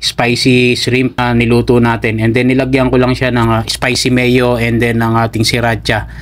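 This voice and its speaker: A man with a Filipino accent giving casual, step-by-step voice-over narration as a cook, often pausing on "ah". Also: mid-range voice, completely flat delivery. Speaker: cook and vlogger.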